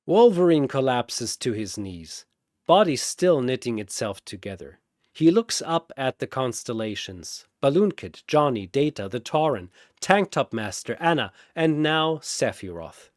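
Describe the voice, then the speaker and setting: A man's voice: medium pitch, very animated, measured pace, no room sound, clean studio sound. A man giving dramatic scripted narration of a fantasy battle, theatrical and emphatic, as narrator and announcer.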